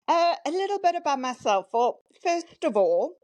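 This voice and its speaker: A woman's voice: high-pitched, very animated, normal speed, a little mellow, dry recording. A woman in her fifties from South Africa, with a South African accent carrying a Welsh twang, telling her story chattily as a podcast guest. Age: 50s. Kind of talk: casual interview chat.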